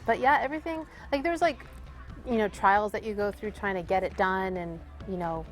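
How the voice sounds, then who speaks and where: A woman's voice: mid-range voice, brisk, faint music behind. Casual Canadian woman in conversation. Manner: informal, says "like" often. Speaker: guest musician. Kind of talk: casual interview chat.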